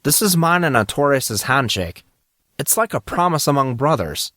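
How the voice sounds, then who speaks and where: A man voicing an excitable, stubborn teenage schoolboy, bantering in casual slang in an audio drama. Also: mid-range voice, moderate pace, no echo, studio quality, pitched above the performer's own voice. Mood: earnest, bargaining.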